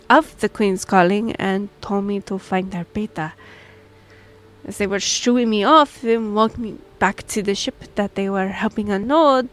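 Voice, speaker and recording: A woman's voice: mid-range voice, close to an everyday voice. A woman playing a polite, flustered young half-elf royal liaison in a fantasy audio roleplay, hesitant and apologetic.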